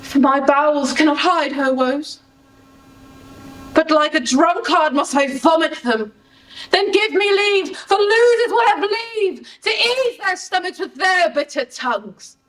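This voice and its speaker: A woman's voice: high-pitched, animated, unhurried, fairly bright tone. A woman performing an anguished, grief-stricken father in an impassioned, declamatory Shakespearean monologue in an echoey room. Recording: echoey room, distant microphone.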